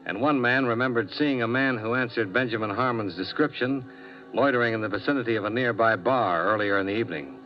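A man's voice: medium pitch, brisk, soft background music, lightly acted. An actor playing a terse, hard-boiled male insurance investigator in a 1950s radio drama, narrating in clipped sentences. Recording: dull old radio recording.

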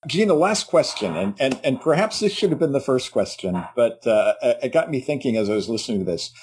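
Husky-voiced male podcast host. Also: mid-range voice, fairly quick. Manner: lively, chatty questioning. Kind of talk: interview hosting and scripted outro.

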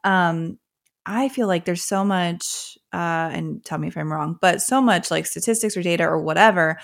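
A woman's voice: on the low side, moderate pace, gravelly. A woman hosting a casual interview, talking in a rambling, loose way and laughing often.

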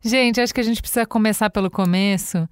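A Brazilian woman co-hosting a podcast asks her questions in a measured way. Her voice is smooth and resonant, captured dry and close in the studio. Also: mid-range voice, dry recording. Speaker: podcast co-host. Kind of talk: podcast conversation.

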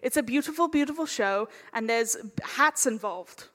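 A woman's voice: a little high, expressive, moderate pace, a bit bright. A woman chairing a live panel in a playful, chatty, informal way. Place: large indoor hall at a live panel event. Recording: echoey hall, live event.

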